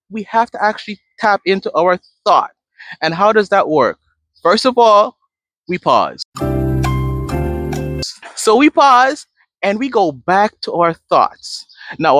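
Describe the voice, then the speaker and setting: Lively high-voiced man from Guyana. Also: no echo. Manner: conversational, explains step by step with examples. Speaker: therapist in training. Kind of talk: direct-to-camera advice talk.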